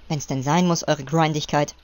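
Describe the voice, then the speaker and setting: A woman's voice: sits low, steady tone, measured pace, a little mellow. A woman voicing a servile, dim gnome adviser, hesitant and mock-deferential, in an amateur parody audio play.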